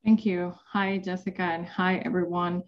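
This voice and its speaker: A woman's voice: lowish voice, fairly even intonation, moderate pace. A young woman from the Dominican Republic giving an earnest introduction as a panelist in a webinar, with many fillers. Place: home office with bookshelves. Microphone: video call. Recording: muffled video call audio.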